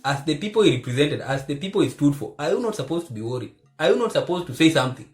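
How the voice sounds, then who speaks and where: Hushed, earnest man. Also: a little high, very animated, quick pace, faint music behind. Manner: earnest and preachy, repeats phrases for emphasis; speaks in a hushed voice because he records at night. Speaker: vlogger. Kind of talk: impassioned commentary to camera. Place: indoors at home at night.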